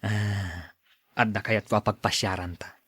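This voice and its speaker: A man's voice: lowish voice, steady tone, measured pace, a bit bright, breathy edge, dry recording. A man acting a devoted, generous young husband, eager to please, in an Ilocano-accented radio drama. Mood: playful, coaxing.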